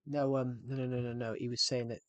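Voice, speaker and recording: A man rambles through a relaxed game livestream at an ordinary mid pitch, circling back over the same phrases. His voice sits close and dry on a streaming mic. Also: fairly quick, no room sound. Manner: rambling, repeats and restarts phrases. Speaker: gaming streamer. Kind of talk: casual livestream chat while playing.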